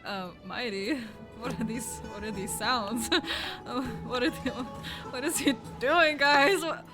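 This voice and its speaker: A young woman streamer comments casually on a game in a fairly high voice. Her pace is slow, and music plays clearly behind her.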